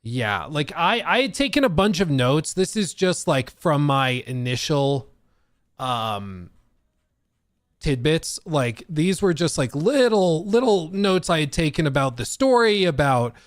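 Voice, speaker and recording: Male streaming host, casual rambling chat, slightly short of breath, very animated delivery. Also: a little high, measured pace, dry recording, studio quality. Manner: rambling, animated, lots of fillers and restarts.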